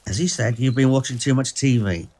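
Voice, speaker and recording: A man's voice: mid-range voice, fairly quick, warm tone, close to an everyday voice. Curt senior policeman played by a man. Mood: dismissive. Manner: curt, authoritative. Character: stern, dismissive senior male police officer. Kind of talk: audio drama acting.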